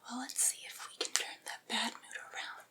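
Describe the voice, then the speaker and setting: A woman performing an ASMR roleplay as a doting, patient familiar, soothing and coaxing in a gently reassuring way. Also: moderate pace. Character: doting, patient female vampire's familiar soothing their master. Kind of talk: whispered ASMR personal-attention roleplay.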